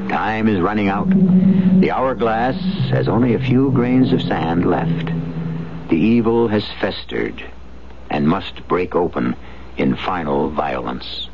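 A man narrating a suspense radio drama as host, ominous and deliberate in delivery. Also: deep voice, gravelly. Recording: muffled radio broadcast, music underneath.

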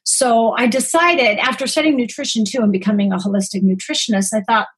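Slightly husky, higher-pitched woman. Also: a little fast. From born in Greece, grew up in the United States. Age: 40s. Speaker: podcast guest, holistic nutritionist. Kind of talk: conversational personal storytelling.

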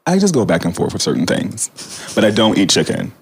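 Podcast guest, man, husky tone, playful banter, lively pitch. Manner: playful, quick joking banter. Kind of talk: casual chat.

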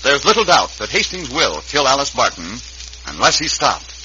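Male announcer, old-time radio serial narration, dramatic pitch swings, muffled vintage recording, music behind. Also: very animated, moderate pace.

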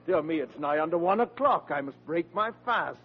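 Pompous, grandiloquent old radio uncle. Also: male voice, on the high side, animated, normal speed, breathy edge. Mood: smooth, unruffled. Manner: grandiloquent, theatrical, unruffled. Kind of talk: old-time radio sitcom acting. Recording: muffled, hissy vintage radio recording.

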